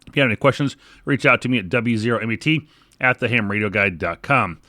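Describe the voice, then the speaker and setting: A man's voice: fairly low pitch, quick pace, bright, forceful tone, dry recording. American man hosting a hobby podcast, dry sound. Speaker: podcast host. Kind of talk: podcast news reading and sponsor reads.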